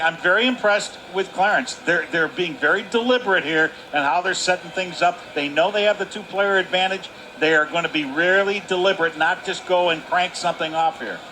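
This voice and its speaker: A man's voice: sits high, fairly quick, sharp tone, poor audio. A man giving live colour commentary on a hockey game, explaining the play in a conversational way. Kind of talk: live sports analysis. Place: indoor ice hockey arena. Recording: faint arena noise behind the voice.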